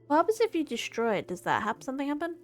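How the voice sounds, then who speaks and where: A woman live-streaming a game, casually reacting and thinking aloud in halting bursts. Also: sits high, big pitch swings. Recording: game music behind the voice. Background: game music.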